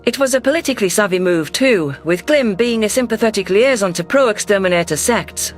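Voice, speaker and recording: A man's voice: sits high, animated, a little fast. A man reading scripted first-person science fiction narration as a storyteller. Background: faint music.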